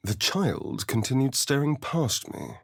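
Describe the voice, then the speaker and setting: A man's voice: medium pitch, sing-song, measured pace, creaky, slightly rough, no echo, clean studio sound, heavily acted. An actor playing a suave, sardonic male vampire spawn in a video game, with an arch, drawling, theatrical manner. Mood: hushed narration. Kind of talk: video game character voice lines.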